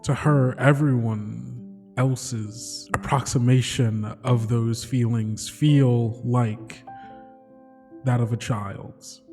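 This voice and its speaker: A man narrating his character's story solemnly and tenderly, in short measured phrases with pauses. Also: mid-range voice, mellow. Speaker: player in a tabletop game podcast. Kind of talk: slow solemn third-person story narration. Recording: long reverb under music.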